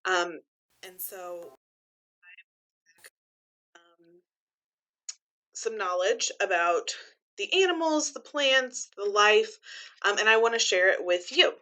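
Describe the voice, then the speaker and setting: A woman reading a children's book aloud like a teacher, pausing to explain things simply and clearly to young listeners. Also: mid-range voice, animated, pushed and bright, rough recording.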